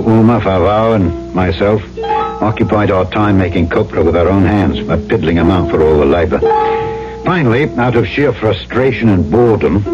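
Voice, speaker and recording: A man's voice: low-pitched, close to an everyday voice. An actor playing a tough, plain-spoken island trader who narrates his own story in old-time radio drama style, folksy and self-assured. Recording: muffled old radio recording with music behind.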